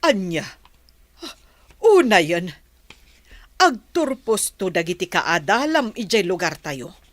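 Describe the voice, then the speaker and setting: A woman's voice: medium pitch, very animated, slow, no room sound. A woman with an Ilocano accent playing a caring but scolding village mother in a radio drama.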